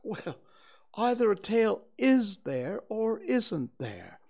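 A man voicing a cheerful, earnest little male teddy bear in a storybook reading, in a bright, eager, slightly sing-song manner. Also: high voice, big pitch swings, slow, vocal fry, voice pushed up, full character voice.

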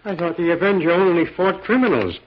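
A dull vintage radio recording of a nervous farmer with a highish voice. He is defensive and evasive, stammering in old radio drama fashion. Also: lively intonation. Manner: stammering, halting. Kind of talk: 1940s radio drama acting.

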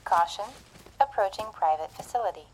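An actress voicing an impersonal facility security computer in an audio drama, measured, through a loudspeaker filter. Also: female voice, mid-range voice, lively intonation, slight background noise, rough recording. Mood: cold, flat. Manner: measured automated announcement.

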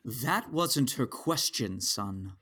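Man playing slow, husky, stern space father. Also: mid-range voice, lively intonation, sharp tone, full character voice. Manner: measured, restrained. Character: calm, stern, disappointed Jedi father. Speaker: voice actor in a sci-fi audio drama. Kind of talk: audio drama acting.

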